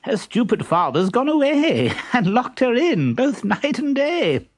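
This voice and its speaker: A man's voice: very high voice, wildly swooping pitch, a little slow, no room sound, studio quality, pitched above the performer's own voice, heavily acted. A man voicing a vain, bossy poet suitor in a storybook, with a theatrical, chuckling delivery that savours every rhyme. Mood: smug, gleeful. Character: vain, giggling suitor poet with gap teeth, bossy and smug.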